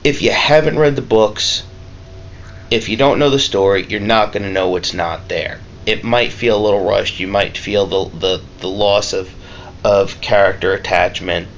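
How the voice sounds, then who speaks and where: A man giving a casual, unscripted review, rambling and halting, with emphatic points and swearing. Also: on the low side, fairly even intonation, dull audio, slight background noise. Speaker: amateur movie reviewer.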